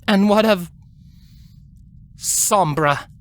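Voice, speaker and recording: A woman's voice: medium pitch, very slow, voice pushed up. A woman voicing a hot-headed, defiant younger alicorn princess who growls with urgency in a dramatic fantasy story reading. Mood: bitter. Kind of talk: dramatic fan fiction reading.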